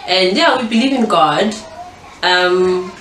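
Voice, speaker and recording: A woman's voice: mid-range voice, animated, slow pace, a bit bright, far from the mic. Young South African woman, echoey room. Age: young adult. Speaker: interview guest, pageant finalist. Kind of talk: casual chat. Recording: roomy echo, off-mic, faint noise.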